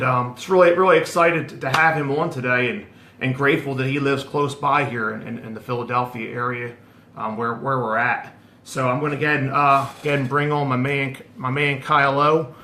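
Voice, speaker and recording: A man's voice: mid-range voice, sharp tone, roomy sound, slight background noise. A man hosting a casual online interview, stumbling and repeating words with many fillers. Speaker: host.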